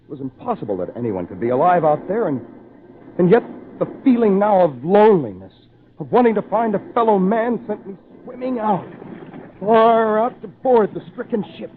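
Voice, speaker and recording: An actor playing a lonely shipwrecked man who tells his own tale in earnest, theatrical, measured phrases with dramatic pauses, in old-time radio style. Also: sits high, sing-song. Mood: urgent, anguished. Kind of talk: old-time radio drama acting, first-person narration. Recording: tinny, band-limited old radio recording, faint noise.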